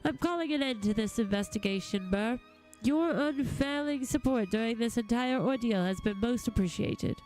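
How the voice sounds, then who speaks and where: A woman's voice: fairly high pitch, a little mellow, no room sound, voice pushed down, lightly acted, poor audio. A woman voicing an earnest, self-important male investigator in a video game, with formal, dramatic, wordy speech. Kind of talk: video game dialogue read-aloud. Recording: faint music behind.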